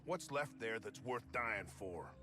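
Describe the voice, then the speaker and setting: A man's voice: lowish voice, animated, a little slow, music in the background. A man playing a defiant hired gun in a video game, speaking in a blunt, insolent way. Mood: defiant, resentful. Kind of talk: video game character dialogue.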